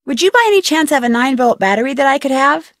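A woman's voice: high-pitched, lively intonation, quick pace, a bit bright, no room sound, clean studio sound. A woman playing an inquisitive, persistent young amateur detective in a video game, speaking in a polite, earnest way. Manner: polite, earnest, crisply questioning. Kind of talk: video game dialogue voice acting.

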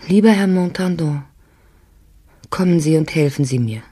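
A woman playing an anxious wife, reading her letter in a pleading, husky and creaky voice in an audio drama. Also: low-pitched, animated, normal speed, warm tone.

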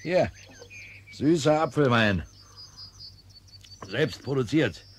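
A man's voice: mid-range voice, animated, takes it slowly, a little hiss or hum. A man playing an easygoing, heavyset idler, leisurely and ironic, in a German radio play from around 1970. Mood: contented. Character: easygoing, teasing, heavyset man who loves idleness.

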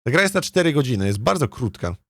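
A man's voice: mid-range voice, big pitch swings, quick pace, no echo, clean studio sound. A man chatting casually as a game streamer, thinking aloud in a hesitant, rambling way and often repeating his words. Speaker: streamer, let's play host.

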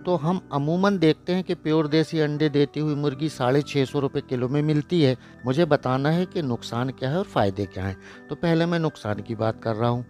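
A man's voice: fairly high pitch, fairly quick, few pauses. Blunt, lecturing man over music. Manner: blunt, lecturing, sarcastic about careless buyers. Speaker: poultry farming channel host. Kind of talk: voice-over narration for a farm video. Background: soft background music.

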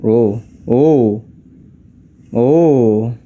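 A man's voice: medium pitch, drawn-out words, mellow, lo-fi sound. An Indonesian man with an Indonesian accent doing casual, reactive gameplay commentary, mixing slang with exclamations. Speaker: gaming YouTuber.

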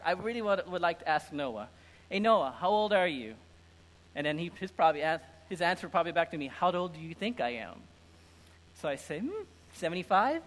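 A middle-aged man preaching a conversational, informal sermon with an animated, humorous manner. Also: fairly high pitch. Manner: animated, informal, acts out imagined dialogue. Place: indoor church stage with lectern and screen. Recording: reverberant hall sound.

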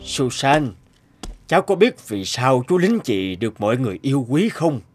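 An actor playing a kindly older policeman in a cartoon, speaking in an earnest, measured and principled way. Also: medium pitch, very animated, husky, soft background music. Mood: gentle. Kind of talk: animated cartoon dubbing.

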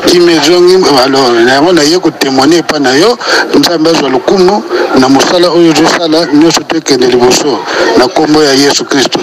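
A man calling in to give a testimony, speaking quietly and steadily. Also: fairly high pitch, fairly quick, heavy background noise. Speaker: caller giving testimony. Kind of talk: phone testimony.

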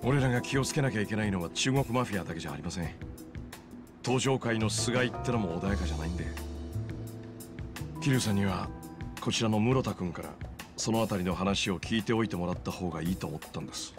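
Male actor as savvy easygoing schemer, fairly low voice, wry, casually polite, game drama, music underneath. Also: fast talker, close to an everyday voice. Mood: wry, explaining. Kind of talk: video game cutscene acting.

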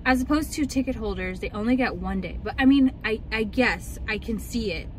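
A woman's voice: on the high side, animated, fairly quick, slight background noise, rough recording. A young woman vlogger chatting and ranting casually in a car, full of likes and ums. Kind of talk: casual chat.

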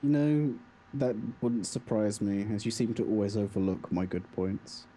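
A man's voice: lowish voice, moderate pace, mellow. A young man co-hosting a casual podcast about games, with a dry, mock-indignant manner. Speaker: podcast co-host.

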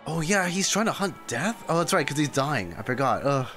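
A man's voice: on the high side, very animated, brisk, bright, forceful tone, faint music behind. A young man streaming, giving chatty, slangy, casual reactions to a game.

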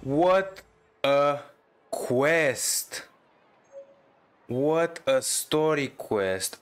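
A man's voice: fairly high pitch, lively intonation. A man giving casual, enthusiastic reaction commentary over a video game, repeating himself and drawing out his words. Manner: drawn-out, repetitive, enthusiastic. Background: faint game music.

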